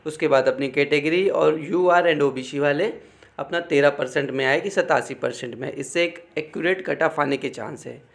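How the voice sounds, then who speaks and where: Higher-pitched man explaining informally. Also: creaky. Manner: repetitive, instructive, checks in with "okay" often. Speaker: exam-coaching presenter. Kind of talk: informal explainer talk, unscripted.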